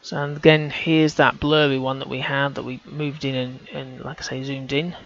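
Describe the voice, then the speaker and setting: A man's voice: medium pitch, flat delivery, normal speed, gravelly, a bit bright, highs cut off. A man talking through on-screen images, casual and hesitant, often restarting his sentences as he thinks aloud. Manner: hesitant, self-correcting, casual. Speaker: amateur researcher.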